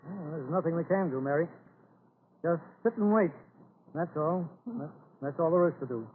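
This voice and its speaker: An actor playing a steady, worried farmer father in an old-time radio drama, reassuring his family through hard times. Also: a little high, moderate pace, close to an everyday voice. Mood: weary, resigned. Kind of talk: 1940s radio drama acting. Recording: scratchy tinny old radio recording.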